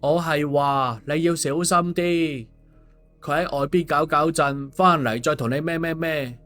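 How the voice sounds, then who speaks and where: A man's voice: on the high side, steady tone, measured pace, fairly bright tone, faint music behind. A man voicing a glib, cheeky male schemer in an audiobook, teasing and mock-sincere with exclamations. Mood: needling. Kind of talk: audiobook dialogue.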